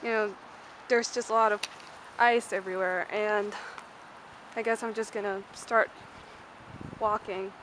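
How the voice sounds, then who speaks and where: A woman's voice: mid-range voice, fairly even intonation, a little slow, pauses often, a bit bright. A young woman from Malaysia giving a casual, unscripted walking-tour vlog in a rambling, informal way full of fillers. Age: young adult. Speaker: student vlogger. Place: mostly outdoors on a university campus. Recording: noisy handheld outdoor camera audio.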